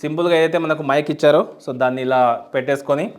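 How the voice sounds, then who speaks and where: A man's voice: on the high side, steady tone, rapid-fire. A man explaining a product step by step as a tech reviewer, in an unboxing video style. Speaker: YouTube tech reviewer and host.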